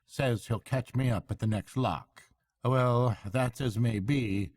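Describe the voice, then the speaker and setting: A man's voice: mid-range voice, slow pace, a little mellow, no echo, studio quality, pitched above the performer's own voice. A man voicing a hearty, shrewd barge woman in a storybook reading, chatty and falsely respectful. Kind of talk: storybook character voice.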